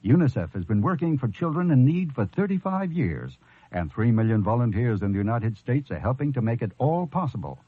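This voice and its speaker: A man's voice: mid-range voice, sing-song, fairly quick, slightly rough, no echo. A man reading a scripted charity public service announcement as a radio announcer. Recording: thin phone-like vintage radio, dry.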